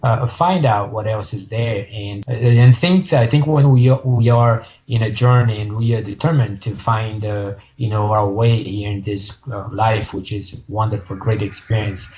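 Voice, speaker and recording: A man with a Brazilian Portuguese accent, whose first language is Portuguese, talks through a dull, lo-fi line. He answers in a halting way, full of fillers and restarts, and his voice sits fairly low. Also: fairly even intonation, a little mellow, a little hiss or hum. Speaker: guest author. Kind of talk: casual interview answers.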